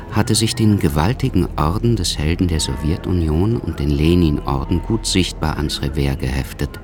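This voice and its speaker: An actor narrating a literary radio play in a sombre, measured, scripted manner. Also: male voice, very deep voice.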